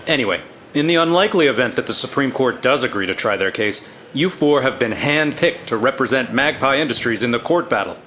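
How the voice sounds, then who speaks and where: An actor playing a stern, businesslike corporate handler in a cartoon, giving a curt, commanding briefing. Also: male voice, mid-range voice, lively intonation, fairly quick, fairly bright tone, a little hiss or hum, natural voice. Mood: brisk, commanding. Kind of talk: mission briefing.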